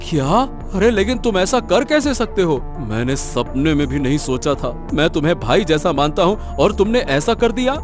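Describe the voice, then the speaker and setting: A mild papaya grower played by a man talks in a cartoon moral story. He is patient and forgiving, with a fairly high voice. Quiet music runs underneath. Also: sing-song, normal speed, hardly any pauses. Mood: shocked.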